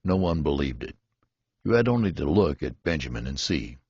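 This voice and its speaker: A man's voice: extremely low pitch, sing-song, moderate pace, a little mellow, breathy edge, no echo, lo-fi sound. A man reading a literary story aloud as scripted audiobook narration. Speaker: audiobook reader.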